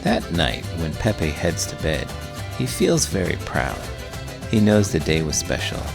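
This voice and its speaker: A man doing an expressive, scripted read-aloud of a children's book. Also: low-pitched, measured pace, vocal fry, music in the background. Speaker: teacher reading children's books aloud.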